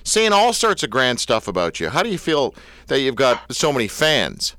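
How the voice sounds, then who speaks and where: A man's voice: mid-range voice, huge pitch swings, normal speed, pushed and bright, dry recording. A man hosting a casual interview show, joking and teasing his guest. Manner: jokey, teasing banter. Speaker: radio show host.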